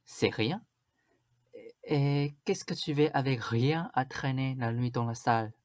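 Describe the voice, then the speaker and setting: A woman's voice: very deep voice, unhurried, darkish tone, dry recording, studio quality. A woman playing a bossy, vain, suspicious stepmother in a radio drama, with a scolding, insistent manner. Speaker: student actor.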